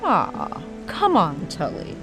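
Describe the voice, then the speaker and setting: A woman's voice: lowish voice, wildly swooping pitch, slow pace, music in the background, exaggerated voice. An actress playing a resourceful, optimistic woman adventurer with a bantering, wry manner in an audio drama. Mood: wry, upbeat.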